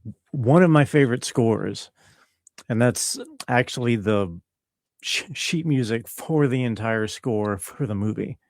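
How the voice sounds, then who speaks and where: A man, a composer guest, answering casually in a relaxed, modest way with short replies. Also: medium pitch, measured pace, dry recording, studio quality. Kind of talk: casual interview chat.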